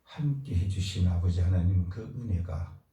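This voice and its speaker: A man, a pastor, leading a fervent formal prayer and giving plain announcements, his voice a bit hoarse from a cold. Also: sits low, moderate pace, dark tone. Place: church sanctuary. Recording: echoey church from a distance.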